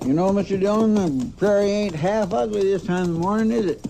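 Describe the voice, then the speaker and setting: An actor playing a chatty, simple frontier sidekick with a creaky voice and rural American accent in an old radio Western. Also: male voice, high voice, fairly quick. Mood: easygoing. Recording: noisy old-time radio recording.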